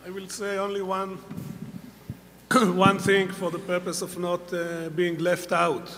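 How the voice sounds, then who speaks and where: A man who is not a native English speaker, judging startup pitches at a conference, speaking haltingly and wryly with many fillers. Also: monotone, fairly bright tone. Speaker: conference judge. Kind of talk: panel feedback. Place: conference hall. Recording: long hall reverb, faint hum.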